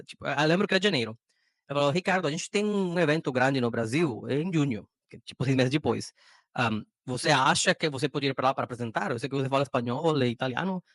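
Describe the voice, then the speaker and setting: A man from Costa Rica speaking in a relaxed interview. His voice sits fairly high, and he talks haltingly, restarting words often. The sound is dry and close. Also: dry recording. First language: Spanish. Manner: halting, many restarts and fillers. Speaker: guest. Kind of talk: casual interview chat.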